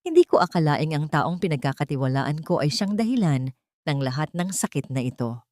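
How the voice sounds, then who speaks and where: A woman's voice: deep voice, animated, brisk, a little mellow, no room sound. A woman voicing a remorseful, tender wealthy father in an audiobook drama, murmuring with regret.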